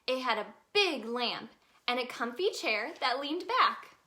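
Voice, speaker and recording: A woman reading a children's picture book aloud as a storyteller, in an expressive, sing-song manner aimed at young children. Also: a little high, a little slow. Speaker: storyteller in character as the Tooth Fairy.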